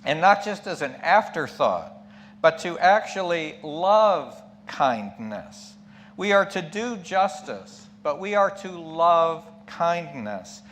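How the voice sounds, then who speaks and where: A man's voice: fairly high pitch, big pitch swings, takes it slowly, fairly bright tone. A middle-aged or older man giving a sermon as a lay preacher, reflective and emphatic, posing questions and dropping in asides. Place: church pulpit. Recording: clear background hum and noise.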